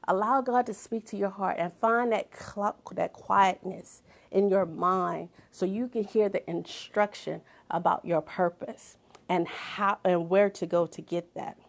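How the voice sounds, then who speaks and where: A middle-aged woman speaking at a mid pitch with a gravelly edge. She gives a motivational talk like a sermon, hammering points home through repetition and now and then sinking to a hushed whisper. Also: a little slow. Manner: emphatic, repeats phrases for effect, drops to whispers. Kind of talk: inspirational talk with preaching.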